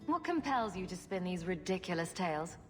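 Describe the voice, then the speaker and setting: A woman's voice: lowish voice, sing-song, brisk, soft background music. An actress playing a self-assured female adventurer in a video game, with a dry, sardonic delivery. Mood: wry, teasing. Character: wry, self-assured female adventurer hero with quick sarcastic wit.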